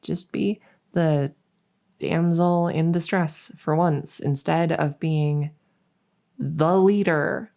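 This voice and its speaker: A woman's voice: deep voice, fairly even intonation, creaky, warm tone, exaggerated voice. A woman playing a world-weary young princess in an intimate audio roleplay, speaking hesitantly and confidingly, sometimes close to a whisper. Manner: hesitant, halting, confiding, with trailing pauses.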